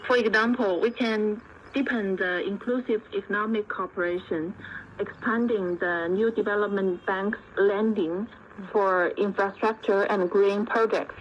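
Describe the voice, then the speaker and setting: A woman speaking as an expert guest, giving measured, formal analysis point by point in an interview over shortwave radio. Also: medium pitch, fairly even intonation. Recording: dull lo-fi shortwave radio with faint noise.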